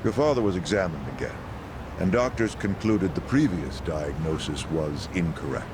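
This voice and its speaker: An actor in an English game dub playing a courteous, composed official who speaks formally and reassuringly. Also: male voice, deep voice, expressive. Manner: measured, formal. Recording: noisy outdoor urban ambience.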